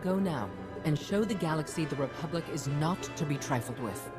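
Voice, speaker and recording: Game role: commanding female leader, low register, firm speechmaking, score underneath. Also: animated, normal speed, over loud music. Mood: resolute. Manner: stately, oratorical, firm. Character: commanding female head of state, resolute and stately. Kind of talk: scripted video game acting.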